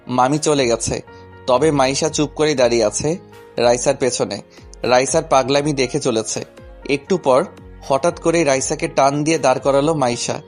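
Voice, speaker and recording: A man's voice: medium pitch, fairly even intonation, normal speed, soft background music. A man narrating a scripted romantic story in the first person, as its male lead.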